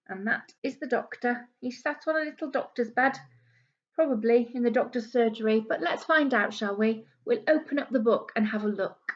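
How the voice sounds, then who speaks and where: A woman with a fairly high voice reads a picture book to young children as a teacher would. She explains things in simple words and puts questions to her listeners. The recording is a little dull and lo-fi. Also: normal speed. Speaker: primary school teacher. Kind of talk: read-aloud storybook lesson for young children. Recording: dull lo-fi small camera recording.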